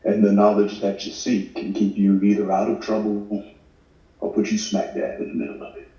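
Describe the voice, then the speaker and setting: An actor playing a reflective, worldly drama narrator, musing in a measured, sombre scripted voice-over. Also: male voice, lowish voice, monotone, dark tone, natural voice. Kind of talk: scripted voice-over at a table read. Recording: muffled, echoey, distant mic.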